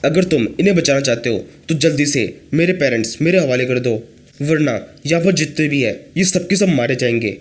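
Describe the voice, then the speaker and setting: A hoarse man reading the lines of a cold, ruthless young cultivator who issues threats. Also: fairly high pitch, brisk, close to an everyday voice. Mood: threatening. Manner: threatening, commanding.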